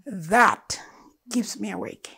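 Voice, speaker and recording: An older woman from Uganda, telling her story to an audience in a living room, slow and earnest with emphatic stresses. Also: mid-range voice, vocal fry, pushed and bright, breathy edge. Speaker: storyteller speaker. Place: living room with stone fireplace, indoors. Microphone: lapel mic.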